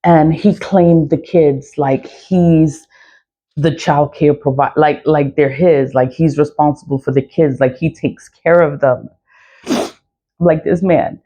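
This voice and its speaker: A woman with a raspy voice, venting bluntly and profanely in an early-morning vlog, repeating herself as she goes. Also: deep voice, fairly even intonation, takes it slowly, mellow, dull audio. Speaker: vlogger. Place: dark room before dawn.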